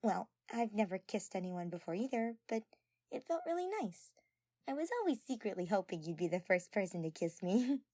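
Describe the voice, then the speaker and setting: A woman voicing a shy, soft-spoken and earnest young girl, gentle and hesitant, in an amateur audio drama. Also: medium pitch, animated, normal speed, no room sound, clean studio sound. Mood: bashful, happy.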